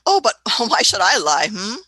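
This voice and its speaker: Woman doing a fussy old time traveler lady, keeps going hmm and uh, bright tense voice. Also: medium pitch, breathy edge, exaggerated voice. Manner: hesitant, muttering "hmm" and "uh". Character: elderly female time traveler, fussy, shrewd. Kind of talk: fan radio play acting.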